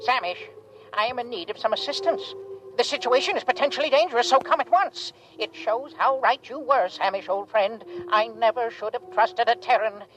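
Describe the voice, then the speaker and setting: A woman's voice: fairly high pitch, moderate pace, lightly acted. A woman playing an anxious, bitter alien schemer in a melodramatic 1950s radio drama. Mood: urgent. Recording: noisy vintage radio recording.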